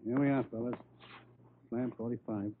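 Man playing a morgue worker, routine small talk, vintage radio crime show, phone-like sound, clear background noise. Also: mid-range voice, steady tone, normal speed, close to an everyday voice. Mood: casual, routine. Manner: matter-of-fact small talk. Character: chatty, mundane male morgue attendant. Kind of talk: 1950s radio crime drama acting. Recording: tinny, noisy old radio recording.

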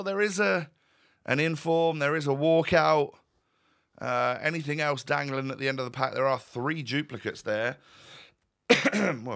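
Guy in his 30s, croaky voice, bright and chatty, rambling game commentary at his desk. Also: mid-range voice. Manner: chatty, wry, with fillers and restarts. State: croaky, voice going. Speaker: gaming YouTuber. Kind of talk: casual pack-opening commentary. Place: home room, indoors.

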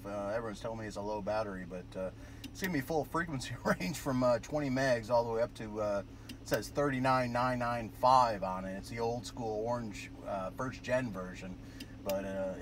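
A man's voice: medium pitch, fairly bright tone. An American man chatting casually over the radio in a rambling, easygoing way, recorded in a noisy parked car. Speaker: amateur radio operator. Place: inside a parked car at roadside with windows down.

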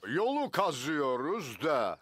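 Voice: medium pitch, sing-song, slow pace, fairly bright tone, husky, no room sound, clean studio sound, heavily acted. An actor playing a blunt, matter-of-fact bull who bosses a roadwork crew in a children's cartoon dub. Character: gruff bull foreman of a roadwork crew, male.

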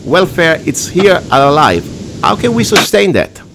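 A man's voice: on the high side, very animated, a little slow, creaky, background noise. A man hosting a talk radio show, asking frank, conversational questions. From Italy. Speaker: radio host.

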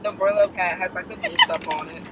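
A woman in her twenties with an American accent, telling stories in a casual, slangy way. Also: mid-range voice. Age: 20s. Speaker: vlogger. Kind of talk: casual chat, storytelling. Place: inside a moving car. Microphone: dashboard camera. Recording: noisy moving car, distant mic.

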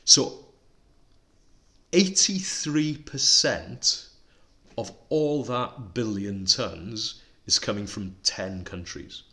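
A man's voice: lowish voice, lively intonation, very slow, pushed and bright. A middle-aged Welsh man whose first language is Welsh, co-hosting a casual podcast and explaining statistics with enthusiasm and self-deprecating asides. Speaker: podcast co-host.

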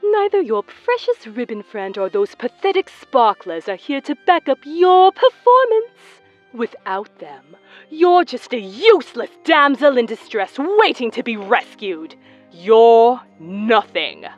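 A woman's voice: fairly high pitch, very animated, unhurried, muffled recording, faint music behind. A woman voicing a haughty, grandiose sorceress villainess in a fan cartoon, stagey and disdainful. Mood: mocking.

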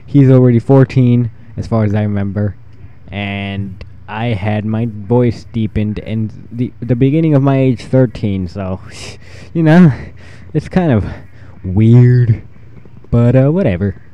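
A fourteen-year-old boy with a freshly deepened voice, rambling and self-deprecating while giving casual commentary over his gameplay. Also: a little slow, lo-fi sound. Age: 14, teenage boy with newly deepened voice. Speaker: gaming channel host.

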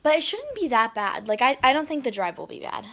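A teenage girl talks to her phone camera at arm's length in a hotel room, her voice sitting a little high. She rambles in a loose, chatty way, full of "like" and "um" as she goes. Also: big pitch swings, brisk, a bit bright. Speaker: vlogger. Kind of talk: casual travel vlog chat. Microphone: phone camera held at arm's length.

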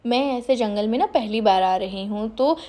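A woman in her thirties narrating a family trip in a calm, unhurried, explanatory voice-over. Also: medium pitch.

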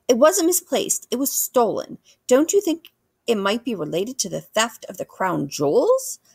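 A woman's voice: a little high, very animated, moderate pace, gravelly, a little mellow, husky, dry recording, studio quality, pitched above the performer's own voice, exaggerated voice. A woman voicing a blunt, curious American boy in a storybook, plain-spoken and skeptical. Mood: insistent. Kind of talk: children's storybook character voice.

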